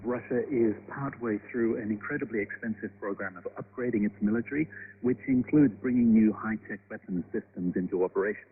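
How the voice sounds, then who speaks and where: A male analyst gives expert commentary in a fairly low voice. It has long reverb and a thin, phone-like shortwave band. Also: fairly quick. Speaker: think-tank analyst. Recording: reverberant, narrow, noisy shortwave interview clip.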